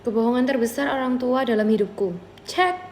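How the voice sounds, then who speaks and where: A young woman telling an animated story in a short video clip. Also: a little high, brisk. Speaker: TikTok creator. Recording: echoey room, faint noise.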